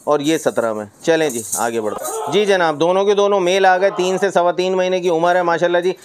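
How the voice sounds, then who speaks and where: A man's voice: high-pitched, a little fast, over music. A middle-aged man giving a chatty, informal sales presentation as host, peppering his talk with pious phrases and English words. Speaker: host of livestock market videos. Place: roofed block-walled goat pen.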